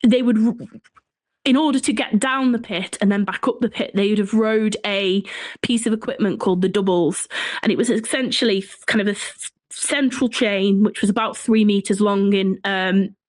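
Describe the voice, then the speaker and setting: A woman with a Shropshire accent talks as a guest, telling a piece of history in a conversational way. Her pitch sits in the middle for a woman. The sound is dry, with very little room in it. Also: fairly even intonation, normal speed, no echo. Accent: Shropshire English. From Shropshire, England. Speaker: podcast guest, folklore and history writer.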